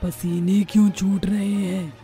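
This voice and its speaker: Slow, creaky, vengeful witch ghost. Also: medium pitch, flat delivery, warm tone, soft background music. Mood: mocking. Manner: slow, taunting menace. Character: vengeful long-haired witch ghost, menacing and mocking. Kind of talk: Hindi horror story dramatization.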